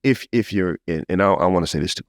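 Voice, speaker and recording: Deep-voiced American man, heartfelt podcast host. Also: quick pace, dry recording, clean studio sound. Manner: animated storyteller, slips into encouraging preacher-like address. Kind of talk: casual, heartfelt testimony and conversation.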